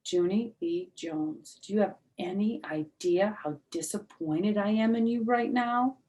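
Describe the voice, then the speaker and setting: A woman reading a storybook as a patient, gently stern male schoolteacher with pointed questions. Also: medium pitch, a little slow, voice pushed up, lightly acted, poor audio. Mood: disappointed.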